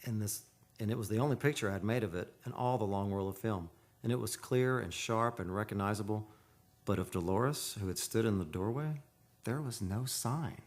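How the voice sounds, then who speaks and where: A man's voice: fairly low pitch, moderate pace, lightly acted. An actor playing an earnest, lovestruck man who narrates a haunted romance in poetic radio-play style. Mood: haunted, somber. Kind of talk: radio play acting and dramatic first-person narration. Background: music.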